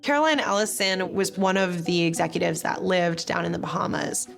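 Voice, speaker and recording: A woman journalist explaining events in a measured documentary interview. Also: fairly low pitch, vocal fry. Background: documentary score music.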